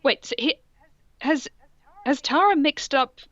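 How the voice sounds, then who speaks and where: A woman's voice: sits high, expressive, measured pace, fairly bright tone, no room sound, muffled recording. A woman co-hosting a comedic read-aloud, amused and breaking off for mocking asides. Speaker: co-host reading fan fiction aloud.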